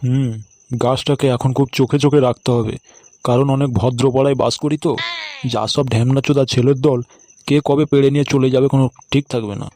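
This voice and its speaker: A wary old village man mutters his schemes to himself, his voice dark and mellow, as a man acts him out. He speaks in a comic cartoon. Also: mid-range voice, steady tone, quick pace, lightly acted. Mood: scheming.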